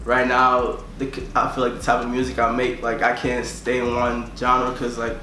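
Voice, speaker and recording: A young man from Tanzania talks loosely about himself, stringing phrases together with "like" over and over. His delivery stays level, with little rise or fall in pitch. The voice rings a little in an echoey room, with faint background hum. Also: completely flat delivery, moderate pace, pushed and bright. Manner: rambling, fills nearly every phrase with "like". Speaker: musician being interviewed. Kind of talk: casual interview talk.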